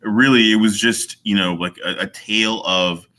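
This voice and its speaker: Bright-voiced man, hesitant and thoughtful. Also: fairly low pitch, fairly even intonation, moderate pace. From Virginia, USA. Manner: hesitant, many fillers, thoughtful. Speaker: author guest. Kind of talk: casual interview.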